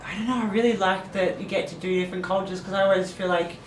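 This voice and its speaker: A woman hosting a casual interview, friendly and chatty, quick to laugh. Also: medium pitch, fairly even intonation. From Netherlands heritage via father, raised abroad. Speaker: podcast host. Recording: echoey room with faint noise.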